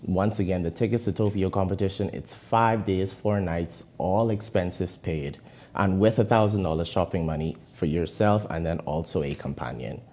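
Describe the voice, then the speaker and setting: Deep-voiced Bahamian man, bank guest. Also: moderate pace, warm tone. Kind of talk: TV interview guest.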